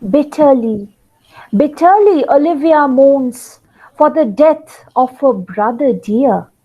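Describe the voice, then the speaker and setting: A woman's voice: sits high, animated, slow, lo-fi sound. A woman narrator telling the story of a play in a dramatic, lingering theatrical style. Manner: dramatic, lingering, with repeated words for effect.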